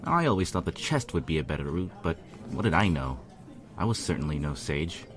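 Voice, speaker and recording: A man reading a first-person story aloud as narrator, with an earnest, measured, brooding delivery. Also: very deep voice, big pitch swings. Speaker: fan fiction reader, narrator. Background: instrumental music.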